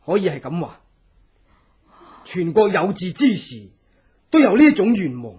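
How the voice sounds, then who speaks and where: An actor playing an earnest, secretive revolutionary, speaking with urgent, theatrical persuasion in a radio drama. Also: male voice, high-pitched, very animated, a little slow, slightly rough, exaggerated voice. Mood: fervent. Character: earnest, secretive revolutionary, escaped political prisoner. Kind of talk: Cantonese radio drama acting. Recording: dull, lo-fi old radio drama.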